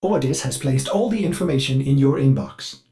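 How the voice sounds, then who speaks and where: An actor voicing a servile, anxious-to-please ship artificial intelligence in a video game, polite, formal and theatrical. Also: male voice, medium pitch, moderate pace, vocal fry, warm tone, lightly acted. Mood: dutiful. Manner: polite, formal, theatrical, speaks of himself in third person. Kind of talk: scripted video game character lines.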